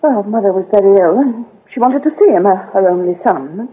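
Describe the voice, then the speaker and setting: A husky woman's voice, a British vicar's daughter in a radio drama. She is guarded and shaken, confessing in hesitant, subdued phrases. The recording is thin and phone-like. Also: medium pitch, animated, fairly quick.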